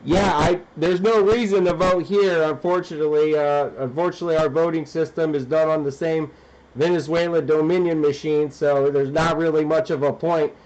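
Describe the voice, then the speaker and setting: A dull, band-limited radio transmission carries an American man with a somewhat high voice. He speaks as an amateur operator in casual conversation, informal and a little hesitant. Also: steady tone, normal speed, darkish tone, slight background noise.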